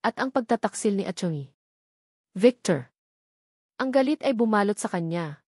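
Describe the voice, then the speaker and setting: A Filipino woman narrates a scripted drama in a mid-pitched voice. The recording is dry and close, with no room around the words. She delivers it as a storyteller reading prepared text. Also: expressive, moderate pace, darkish tone, slightly rough, no room sound.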